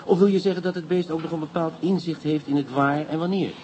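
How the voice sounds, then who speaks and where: An actor playing a skeptical, wry police inspector and doting husband in a radio play, teasing and conversational. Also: male voice, on the high side, fairly even intonation, quick pace, darkish tone, slight background noise, lightly acted.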